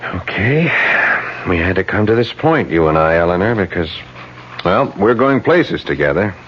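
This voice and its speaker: An actor playing an ambitious political adviser in a 1970s radio drama, speaking in an urgent, persuasive way. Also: male voice, deep voice, very animated, moderate pace. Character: ambitious male political adviser, pushy and flattering. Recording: dull old radio broadcast with faint noise.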